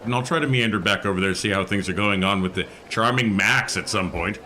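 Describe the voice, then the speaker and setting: A man's voice: on the low side, fast talker, bright, forceful tone, soft background music. A man casually talking through his character's moves as a player in a tabletop role-playing game. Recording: clear background noise.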